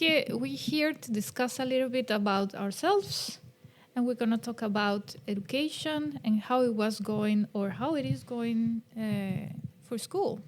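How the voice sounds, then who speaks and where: A woman from Colombia, hosting a casual bilingual podcast chat and filling pauses with "um" and "uh". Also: fairly high pitch. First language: Spanish. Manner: relaxed, chatty, with many fillers. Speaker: podcast host.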